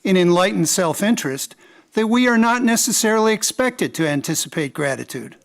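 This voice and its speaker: An actor playing a polite, formal mid-century radio news interviewer in a courteous manner. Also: male voice, high voice, lively intonation, brisk, vocal fry. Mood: courteous, inquiring. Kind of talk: old-time radio interview acting. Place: live radio theater performance in a church.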